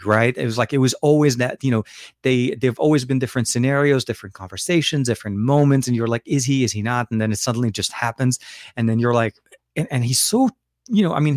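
A young man from Lebanon hosting a casual livestream from home. He rambles and restarts his sentences often, and his voice sits at an ordinary middle pitch. The sound is dry and close, with little room reflection. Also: no echo. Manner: rambling, halting, with frequent restarts and fillers. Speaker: livestream host.

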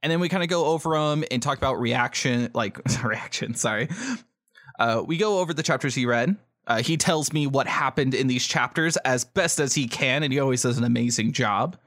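Male co-host. Average pitch, informal joking chat, close and dry podcast sound. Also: brisk, fairly bright tone, dry recording. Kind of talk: casual, jokey podcast chat.

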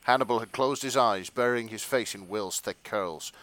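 A man's voice: on the low side, moderate pace, fairly bright tone, no echo. A man narrating comic fan fiction and chatting casually about it.

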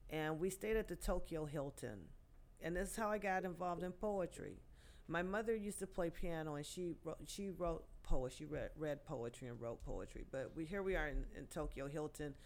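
Woman in her sixties, guest poet, storytelling, halting, lots of fillers. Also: sits low, darkish tone. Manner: halting, many fillers and restarts. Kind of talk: storytelling in a podcast interview.

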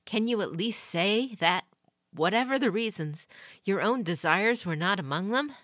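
A woman's voice: mid-range voice, animated, unhurried, fairly bright tone, no echo, clean studio sound, voice pushed up. A woman voicing a headstrong young man who speaks bitterly to his mother. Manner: bitter, accusing.

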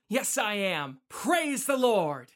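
A woman's voice: mid-range voice, sing-song, very slow. A woman playing an earnest, faithful young married son in an audio drama. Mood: joyful.

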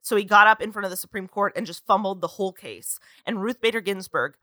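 A young woman in her twenties chatting casually on a podcast, with a bright, forceful voice. She leads the talk and tells stories with energy. The sound is dry and close. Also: mid-range voice, fast, no room sound. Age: 20s. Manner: lively storyteller, leads the discussion. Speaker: podcast co-host.

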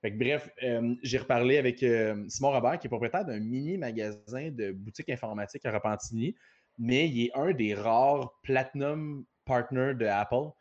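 Quebec guy hosting a podcast, talks a lot, mid pitch, kinda muffled sound. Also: moderate pace, darkish tone. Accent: Quebec French. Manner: talkative, rambling anecdotes. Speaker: podcast host. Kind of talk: casual business podcast chat.

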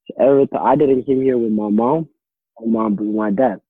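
A man's voice: medium pitch, steady tone, husky, no room sound. Young man on a tinny line. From Somalia. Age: late teens. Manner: halting, repeats words while searching for them. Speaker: interviewee, high school student. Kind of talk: casual interview answers. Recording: dry, tinny phone or call line.

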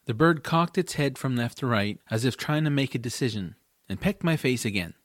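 A man narrates a family fantasy tale in the first person, as a scripted audiobook. His voice sits at a middle pitch, and the recording is close and dry. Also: expressive, fairly quick, dry recording.

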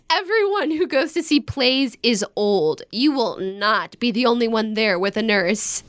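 An actress playing an outspoken, wisecracking young American elder sister in a naturalistic modern audio drama, with blunt, casual banter. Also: female voice, on the high side, animated, measured pace, fairly bright tone, no room sound, clean studio sound. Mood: teasing, affectionate. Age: young adult.

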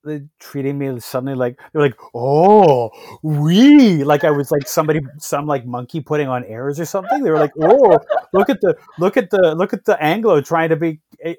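Chatty canadian interviewer telling a funny story, dry close mic, middle pitch. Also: expressive, moderate pace, hardly any pauses, warm tone, no room sound. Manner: chatty, laughs at own stories. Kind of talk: conversational interview.

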